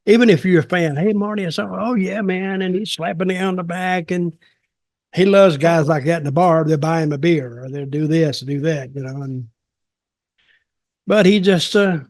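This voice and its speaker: A man's voice: on the high side, moderate pace, a little mellow, dry recording, studio quality. An older man in his seventies chatting casually as a podcast co-host, giving opinions in a blunt, rambling, folksy way. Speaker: podcast co-host and wrestling storyteller. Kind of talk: casual chat, opinionated commentary. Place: small home office, video call.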